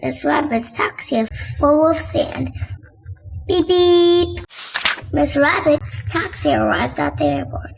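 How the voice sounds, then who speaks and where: A woman reading a children's storybook aloud as narrator, with clear noise in the recording. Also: high-pitched, measured pace, few pauses. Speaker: storybook reader and host.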